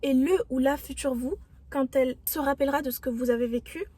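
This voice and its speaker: A woman's voice: high voice, steady tone, fairly quick, dark tone, slightly rough, no room sound. A young woman giving an earnest, encouraging self-help talk as a vlogger, reflective in tone.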